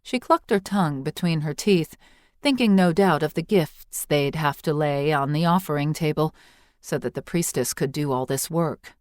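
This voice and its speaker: Low-voiced woman narrating a story. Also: normal speed, a little mellow, no room sound, studio quality. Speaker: audiobook narrator.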